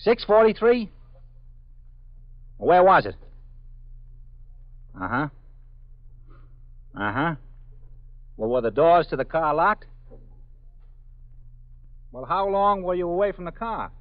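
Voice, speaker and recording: An actor playing a desk sergeant questioning a caller in a 1950s radio drama. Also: male voice, on the high side, very animated, no echo. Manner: brisk questioning. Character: desk sergeant taking a phone complaint. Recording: dry lo-fi 1950s radio recording.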